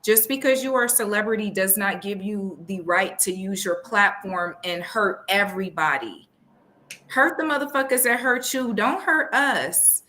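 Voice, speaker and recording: A woman's voice: medium pitch, animated, moderate pace, bright, forceful tone. A woman co-hosting a podcast, talking in a blunt, emphatic way and cursing freely. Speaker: podcast co-host. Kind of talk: casual chat.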